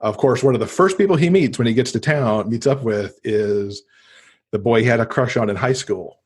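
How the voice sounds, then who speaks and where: A man's voice: medium pitch, normal speed, breathy edge. An American man chatting casually and enthusiastically as an interview guest recommending books. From United States. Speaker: podcast guest, book lover.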